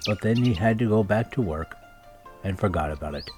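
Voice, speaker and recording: A man narrating a children's story with expressive, scripted storytelling delivery. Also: on the low side, a little fast. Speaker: storybook reader. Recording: music laid behind the voice. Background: music and sound effects.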